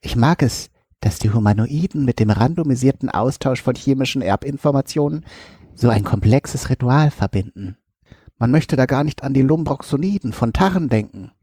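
A man playing a gentle, whimsical alien who narrates a diary in a playful, mock-scientific way. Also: medium pitch, lively intonation, moderate pace, breathy edge, no room sound, studio quality. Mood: playful, fond. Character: Jolki, gentle whimsical alien diarist fond of love. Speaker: host.

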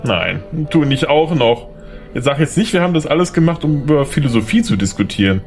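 Actor as a sarcastic man on the run, lively. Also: mid-range voice, brisk, soft background music. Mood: wry, skeptical. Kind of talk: radio play acting.

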